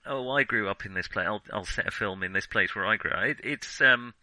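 A man with a very bright, sharp-edged voice chats about films as a podcast co-host. He stops, restarts and hesitates often, with a dry room sound and a self-mocking, joking tone. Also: fairly low pitch, no room sound. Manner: halting, self-interrupting, hesitant phrasing. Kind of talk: casual film discussion.

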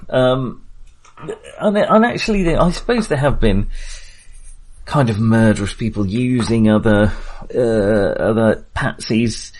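A man's voice: lowish voice, a little mellow. A man talking casually as a film podcast host, in a hesitant, rambling but enthusiastic way.

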